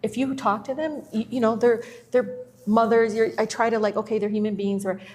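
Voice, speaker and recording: A woman's voice: fairly high pitch, fairly even intonation, brisk, darkish tone. A woman politician answering interview questions, earnest and plain-spoken, telling anecdotes. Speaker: politician guest.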